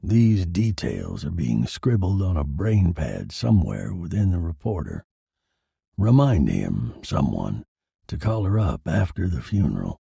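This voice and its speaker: A man's voice: deep voice, big pitch swings, a little slow, gravelly, mellow, slightly rough, dry recording, clean studio sound, voice pushed down, exaggerated voice. A man voicing a bitter, sardonic dead husband who narrates his own noir horror story in a theatrical way. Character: dead murdered husband, bitter, wry, macabre narrating corpse.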